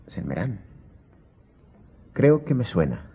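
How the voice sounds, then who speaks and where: An actor playing a commanding count in an audio drama, formal, authoritative and coolly sardonic. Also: male voice, medium pitch, big pitch swings, slow, breathy edge, heavily acted. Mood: polite, curious. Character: commanding, coolly ironic count, master of the house. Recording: muffled, band-limited audio.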